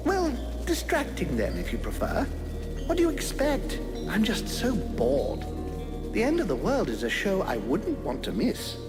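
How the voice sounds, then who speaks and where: An actor playing a flamboyant, bored immortal undead spirit in a video game, with a droll, theatrical delivery. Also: male voice, sits high, huge pitch swings, unhurried, over music, exaggerated voice. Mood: droll, amused.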